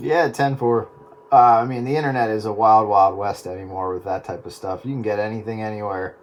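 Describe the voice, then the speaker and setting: A man's voice: on the low side, fairly even intonation, fairly quick. A man in casual radio chat, hesitant and often repeating his words. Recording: noisy radio transmission.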